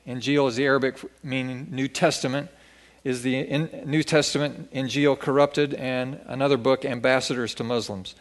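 A man's voice: medium pitch, steady tone, moderate pace. A man reading a formal introduction of a guest speaker to a church congregation. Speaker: church pastor.

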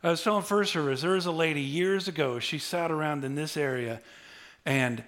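Joking, animated man preaching. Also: a little high, fairly quick. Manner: humorous, conversational preaching with big swings in loudness. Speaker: pastor. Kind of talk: sermon.

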